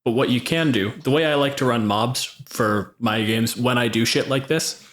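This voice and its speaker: A man talks as a game master on a casual podcast, in a middle-pitched voice. He lays out his opinions and rules with emphasis and drops swear words casually as he goes. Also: moderate pace, fairly bright tone. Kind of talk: casual opinionated tabletop gaming chat.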